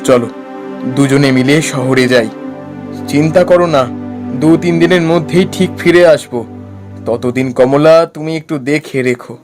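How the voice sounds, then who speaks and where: A man's voice: fairly high pitch, a little slow, pauses often, music in the background, lightly acted. A man voicing a concerned village husband in a cartoon story, speaking earnestly. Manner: earnest, reasoning.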